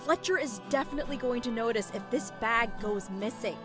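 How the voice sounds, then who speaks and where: Woman acting high-voiced, anxious accomplice. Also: brisk, music in the background, natural voice. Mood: worried, cautious. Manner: worried, cautioning. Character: anxious, cautious female accomplice. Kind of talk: audio drama acting.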